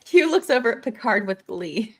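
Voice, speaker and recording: A woman's voice: a little high, very animated, moderate pace. A woman hosting a live script read, narrating the scenes in between casual chat.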